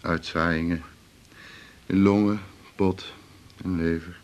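An actor playing a matter-of-fact male hospital colleague in a radio drama, brisk and factual. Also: low voice, steady tone.

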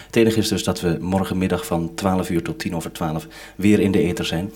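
A man's voice: deep voice, steady tone, a little fast, a little mellow, husky. A man working as a radio reporter, speaking in a live link-up on an old broadcast recording. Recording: old radio recording with faint noise, clicks.

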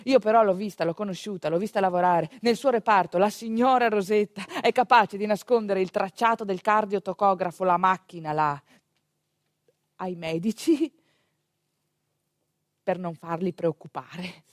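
A woman delivering a theatrical monologue in a conversational way, with pointed pauses and rhetorical asides. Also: mid-range voice, no echo, clean studio sound. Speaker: stage actress narrating a monologue.

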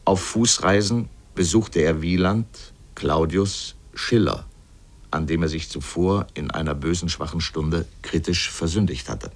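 A man playing an erudite, sardonic narrator of a scripted literary radio essay, speaking deliberately and pointedly. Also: low-pitched. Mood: measured, reflective.

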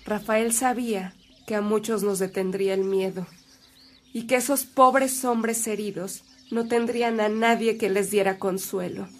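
A woman's voice: mid-range voice, steady tone, a little slow, natural voice. An actress with a Mexican accent playing a devout, anxious woman in a radio drama, speaking in an earnest, emotive way. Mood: remorseful, admiring. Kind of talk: Spanish-language radio drama acting.

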